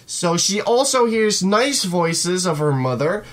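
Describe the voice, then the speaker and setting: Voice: mid-range voice, sing-song, takes it slowly. A video game host giving casual, informal, reactive commentary during a let's play. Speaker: host of a gaming channel.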